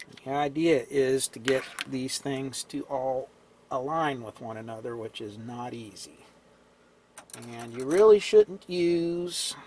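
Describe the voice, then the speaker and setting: A man with a hoarse, fading voice, casually explaining an old radio repair at an electronics workbench, clearing his throat now and then. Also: mid-range voice, a little hiss or hum. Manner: relaxed, talks while tinkering, frequent throat clearing. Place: cluttered electronics workbench indoors.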